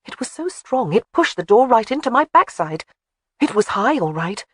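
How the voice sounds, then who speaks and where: A woman's voice: on the high side, sing-song, normal speed, fairly bright tone, pitched above the performer's own voice. A woman voicing a spirited young wife in an audiobook who is cheerful, stubborn and insistent.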